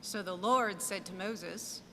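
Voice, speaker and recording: A woman's voice: mid-range voice, slow, sharp tone. A woman narrating a scripture reading expressively in church. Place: large church indoors. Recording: reverberant church, audible noise.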